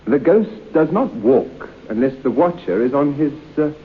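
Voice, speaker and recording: An actor playing an urbane, sceptical, self-assured gentleman in an old radio drama. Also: a little high, expressive, moderate pace. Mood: breezy, confident. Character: breezy, sceptical gentleman making a wager. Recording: muffled old radio recording with hiss.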